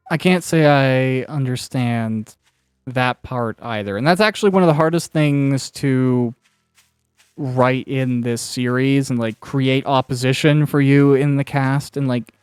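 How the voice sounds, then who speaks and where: A man hosts a relaxed conversational interview. His voice is clear and resonant, recorded very dry. He feels his way through long questions in a reflective, rambling manner. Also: medium pitch, unhurried, dry recording. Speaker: interviewer and writer of an audio series. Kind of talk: conversational interview while playing a game.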